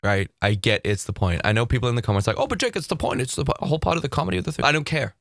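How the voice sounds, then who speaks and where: Slightly hoarse Northern Irish man. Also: mid-range voice, dry recording, studio quality. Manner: animated, quick asides, frequent laughter. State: slightly hoarse from vocal fatigue. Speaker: goth reaction video host. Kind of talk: casual reaction commentary. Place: home streaming room. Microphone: desk mic on boom arm.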